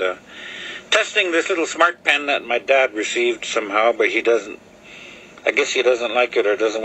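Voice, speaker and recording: A man whose first language is Lakota, talking casually to a video camera in halting English, often pausing with fillers as he searches for words. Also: mid-range voice, sharp tone, slight background noise, rough recording. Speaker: vlogger. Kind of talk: casual rambling talk to camera. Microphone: video camera mic.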